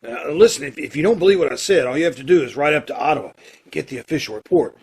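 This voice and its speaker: A man acting a kindly, earnest condemned prisoner in an old-time radio play, with hesitant, folksy phrasing and a gravelly, husky voice. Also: fairly high pitch, lively intonation, darkish tone. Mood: earnest, insistent. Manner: hesitant, halting, folksy and informal.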